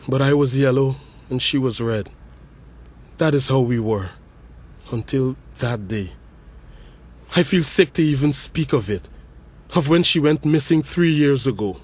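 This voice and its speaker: A man's voice: fairly high pitch, darkish tone. A man playing an intense grieving brother. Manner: intense, probing, accusatory. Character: grieving, accusing young brother at a funeral. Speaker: brother giving a eulogy. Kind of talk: audio drama monologue.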